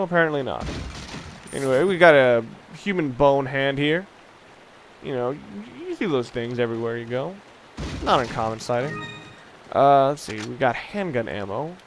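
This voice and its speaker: Man doing game commentary over clear noise. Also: medium pitch. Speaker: let's play host. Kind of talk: casual unscripted video game commentary. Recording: clear background noise under the voice.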